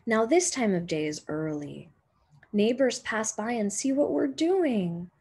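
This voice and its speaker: A young woman, a teacher reading a children's picture book aloud expressively, with deliberate dramatic pauses and emphasis. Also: fairly high pitch, lively intonation, a little mellow, husky. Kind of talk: expressive children's storybook narration.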